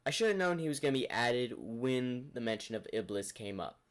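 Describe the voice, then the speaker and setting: Man giving casual reaction commentary, medium pitch. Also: lively intonation, a little fast, heavy background noise. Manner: rambling, thinking aloud. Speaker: reaction video host.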